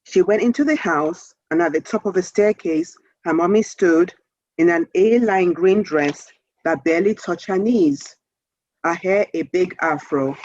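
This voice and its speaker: A woman author reading a children's story aloud in a measured, expressive storytelling manner. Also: lowish voice, dry recording, dull audio.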